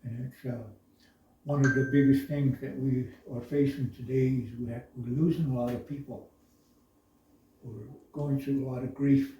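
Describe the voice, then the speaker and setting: A man from the Navajo Nation speaking slowly and thoughtfully in a reflective discussion, pausing often with uhs. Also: mid-range voice, little pitch movement, mellow, slight background noise. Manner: slow, thoughtful, halting with many uhs. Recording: echoey room, voice from far off.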